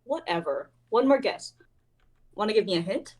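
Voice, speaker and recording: A woman's voice: medium pitch, lively intonation, normal speed, a little mellow, close to an everyday voice. A woman playing a playful, teasing young woman in a casual dramatic reading. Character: playful, teasing young woman named Bellavia sharing a ride.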